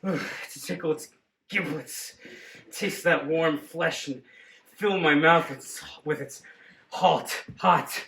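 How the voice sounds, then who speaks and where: A man's voice: on the high side, takes it slowly, vocal fry, pushed and bright, exaggerated voice. Brief: male actor as bossy lustful groom, overacted, faint hiss behind. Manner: melodramatic, whiny. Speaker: actor in a comedy skit. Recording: echoey room, faint noise.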